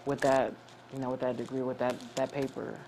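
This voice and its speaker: A young woman from Cameroon, a graduate student, answering interview questions earnestly with many pauses and restarts. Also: extremely low pitch, level tone, darkish tone, a little hiss or hum.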